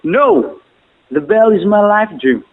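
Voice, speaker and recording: A man performs a proud and courtly emperor, speaking grandly in poetic drama lines. His voice sits a little high for a man, and the audio is narrow like a phone line. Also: animated, slow pace. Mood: proud, resolute. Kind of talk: stylized stage drama recital of poetic lines.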